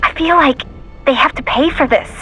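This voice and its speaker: An actress playing a young, loyal, idealistic mission support operator in a video game, hesitant yet heartfelt. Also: female voice, high-pitched, moderate pace, pushed and bright, faint music behind. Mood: pained, determined.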